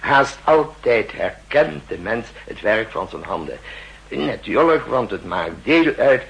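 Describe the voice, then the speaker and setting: An actor playing a chatty, knowing older dentist who muses and lectures haltingly in a 1960s radio drama. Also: male voice, mid-range voice, very animated, fairly bright tone, full character voice. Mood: musing, lecturing. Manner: halting, musing, lecturing, repeats himself. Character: chatty, knowing old male dentist, musing and self-satisfied. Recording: husky-sounding old 1960s radio recording.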